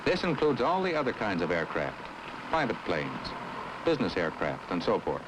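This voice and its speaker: An American man acting as an affable, earnest air traffic specialist, explaining in a measured way in an old educational film. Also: sits low, huge pitch swings. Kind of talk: scripted 1960s educational film dialogue and narration. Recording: old 1960s film soundtrack, faint noise.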